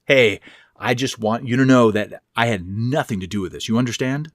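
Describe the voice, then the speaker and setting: A man's voice: medium pitch, moderate pace, no room sound, clean studio sound, natural voice. A man playing an anxious fellow who protests his innocence in a horror audio story. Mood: anxious, urgent. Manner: anxious, hesitant, calling out. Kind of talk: horror audio fiction reading.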